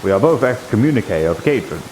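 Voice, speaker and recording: A man's voice: on the low side, sing-song, fast, darkish tone. A man improvising roleplay dialogue in a hesitant, thinking-aloud manner. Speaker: streamer role-playing in a VR game. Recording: clearly noisy with rain-like hiss.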